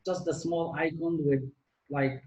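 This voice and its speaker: A man's voice: on the high side, steady tone, unhurried, a little mellow, lo-fi sound, a little hiss or hum. Moroccan man, rambling with echo. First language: not English; French second language. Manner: rambling, many fillers and restarts. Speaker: guest artist. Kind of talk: casual interview chat.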